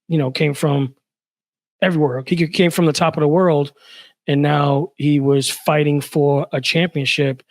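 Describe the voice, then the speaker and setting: A man's voice: fairly high pitch, gravelly, dry recording. A man from the Bronx, a guest on a casual podcast, who talks in a chatty way with lots of "you know" and "uh". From the Bronx, New York. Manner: chatty, fills his talk with "you know" and "uh".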